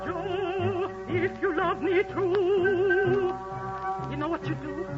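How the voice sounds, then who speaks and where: A woman's voice: very high-pitched, fairly even intonation, slow, lo-fi sound, over loud music. An actress in a 1940s radio musical playing a bold young woman who coaxes and insists. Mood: coaxing.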